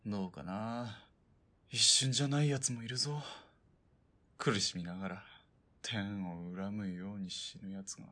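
A man playing a gruff, world-weary gunman in a Japanese radio drama, speaking bluntly and wryly in rough colloquial speech. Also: on the low side, fairly even intonation, a little slow, long pauses, pushed and bright. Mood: grim, dry. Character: gruff, world-weary male sniper-team partner, wryly nagging.

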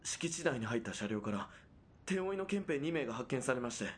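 An actor playing a stern, indignant army sergeant in a radio drama, with stiff military speech. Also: male voice, medium pitch, sing-song, a little fast, slight background noise, lightly acted. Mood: urgent, formal. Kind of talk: Japanese radio drama acting.

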